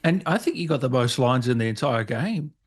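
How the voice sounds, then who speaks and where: A man's voice: medium pitch, animated, a little fast, breathy edge. A man hosting a casual interview, putting in short reactions as his guest talks. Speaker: podcast interview host.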